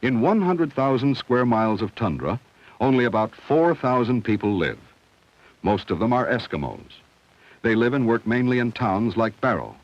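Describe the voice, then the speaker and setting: A man reading scripted narration for a 1970 educational film in a measured, instructional, clearly enunciated way. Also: medium pitch, very animated. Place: studio voice-over. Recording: dull, dry vintage film soundtrack.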